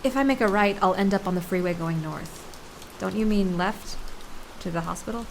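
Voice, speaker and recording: Audio drama daughter, female actor: young adult, wry, impatient, independent, mid-pitched, noticeable rain behind. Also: normal speed, natural voice. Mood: concerned. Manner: impatient, sarcastic. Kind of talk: naturalistic audio drama acting. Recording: clearly audible rain noise behind voice.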